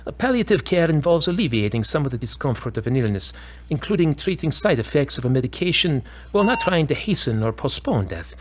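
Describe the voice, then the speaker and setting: A man's voice: a little high, very animated, brisk, few pauses, a little hiss or hum. Hesitant, earnest man acting as a doctor, varied intonation. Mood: earnest, reassuring. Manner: hesitant, formal, explanatory. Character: apologetic male hospital doctor, earnest and hesitant. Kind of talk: audio drama dialogue.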